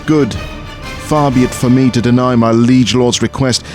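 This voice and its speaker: A man playing a grim, vengeful assassin in an audio drama, speaking in a menacing, deliberate manner. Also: medium pitch, steady tone, close to an everyday voice. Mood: vengeful, resolute. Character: grim, vengeful assassin, last of his people. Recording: music behind the voice.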